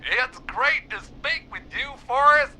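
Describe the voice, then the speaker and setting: An actor playing a genteel, primly evasive male small business owner calling a radio show in a video game, with a theatrical, drawn-out delivery. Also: extremely high pitch, expressive, bright, forceful tone, heavily acted. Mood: theatrically horrified. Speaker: radio call-in caller.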